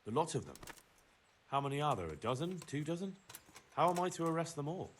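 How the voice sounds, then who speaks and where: A man's voice: a little high, big pitch swings, fairly quick, slight background noise. An actor playing a dutiful, inquisitive young medieval man-at-arms in a video game, plain-spoken and deferential. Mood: incredulous. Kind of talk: scripted video game dialogue. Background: music.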